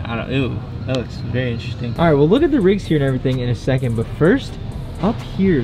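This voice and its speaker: A young man, chatting casually and rambling as he vlogs to a handheld camera. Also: fairly high pitch. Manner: chatty, rambling, laughs at himself. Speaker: fishing channel host. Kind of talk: casual vlog talk to camera. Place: store aisle, then outdoor lakeside park. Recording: background music.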